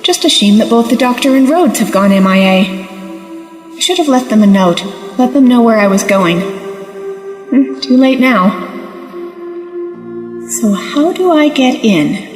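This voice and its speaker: An actress plays a confident, self-reliant woman sleuth in an audio drama, muttering wryly to herself. Her voice is fairly high and rings with roomy, lingering reverb, with music clearly behind it. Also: expressive, measured pace, long pauses. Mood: wry, musing. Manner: wry, talking to herself. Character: wry, confident, self-reliant female amateur investigator.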